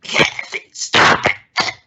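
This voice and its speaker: A man's voice: drawn-out words, pushed and bright, full character voice. A man playing an exasperated, stammering father in an old-time radio family comedy. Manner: stammering, hesitant, blustering. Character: flustered, exasperated, good-natured suburban father and husband. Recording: very noisy radio-play recording.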